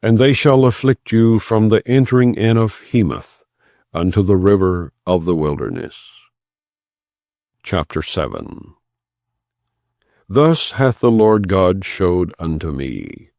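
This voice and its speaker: Male audiobook narrator for scripture: low register, solemn and measured delivery, very dry close sound. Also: warm tone, no echo. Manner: measured, declamatory. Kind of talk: solemn scripture reading, non-dramatized.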